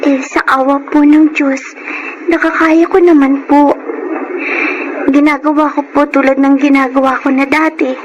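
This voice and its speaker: A woman's voice: sits high, level tone, moderate pace, long pauses, highs cut off, natural voice. A woman acting a poor, humble, grieving orphan girl in a Filipino radio drama, polite and earnest, with a Filipino accent. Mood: polite, resolute. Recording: noisy radio drama audio.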